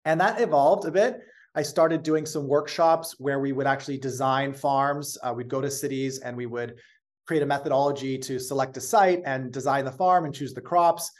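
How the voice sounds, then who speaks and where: Steady, fluent American man. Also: medium pitch. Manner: measured, fluent storytelling with occasional fillers. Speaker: guest, company founder. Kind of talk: casual interview talk.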